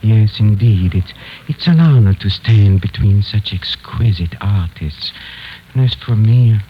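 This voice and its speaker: An actor playing a nervous, guilty murderer on the run, stammering and repeating himself in a 1940s radio thriller. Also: male voice, fairly low pitch, a little slow, close to an everyday voice. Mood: bitter, proud. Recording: noisy, dull 1940s radio recording.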